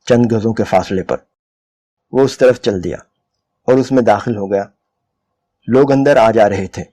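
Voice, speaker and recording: A man's voice: medium pitch, warm tone. A man reading a literary short story aloud as dramatic scripted narration. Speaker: dramatic reader of short stories.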